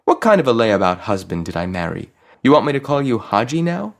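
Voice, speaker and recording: Storybook nagging wife, played by a man: raised pitch, scornful, sarcastic, incredulous. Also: sing-song, a little fast, creaky. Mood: scornful disbelief. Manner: nagging, sarcastic rhetorical questions. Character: scolding, scornful, incredulous middle-aged shopkeeper's wife. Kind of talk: audio short story character reading.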